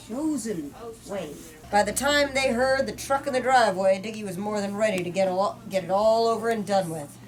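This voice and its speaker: A woman reading a story aloud as host, dramatic and informal in her delivery. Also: fairly high pitch. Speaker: host reading book excerpts. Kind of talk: expressive book reading with casual asides. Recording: distant mic, faint background noise.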